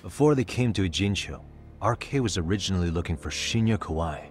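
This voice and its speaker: Level-headed investigator, thirties, very low voice, matter-of-fact, game drama, music behind. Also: male voice, lively intonation, brisk. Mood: pondering. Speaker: voice actor. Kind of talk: English dub video game drama acting.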